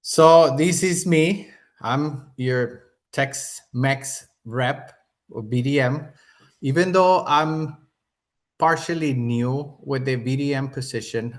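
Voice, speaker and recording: A man's voice: mid-range voice, takes it slowly. A man from Mexico with a Mexican accent, giving an earnest hotel sales presentation on a video call webinar.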